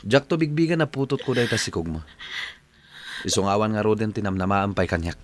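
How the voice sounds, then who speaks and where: An actor playing a cocky, breezy young playboy son in a radio drama, with an Ilocano Filipino accent. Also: male voice, fairly low pitch, fast, slight background noise, natural voice. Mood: dismissive.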